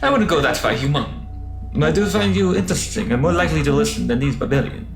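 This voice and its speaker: A man's voice: fairly high pitch, expressive, quick pace, gravelly, soft background music. A man playing an alien conscript soldier in an audio drama, blunt and wistful, speaking in literal, formal phrases with puzzled questions. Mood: wary, dry.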